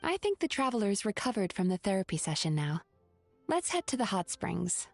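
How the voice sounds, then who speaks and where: Composed therapist, close dry recording. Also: female voice, mid-range voice, sing-song, gravelly, dry recording, faint music behind. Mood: reassuring. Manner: measured, articulate, gently reassuring. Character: composed, caring young woman therapist, gently wry. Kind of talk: English anime-style game voice acting.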